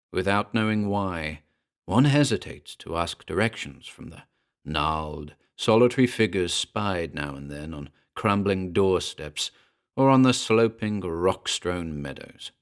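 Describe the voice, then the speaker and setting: A man with a fairly low voice presents and narrates classic horror fiction. His delivery is scripted, measured and formal, with deliberate phrasing suited to an old literary tale.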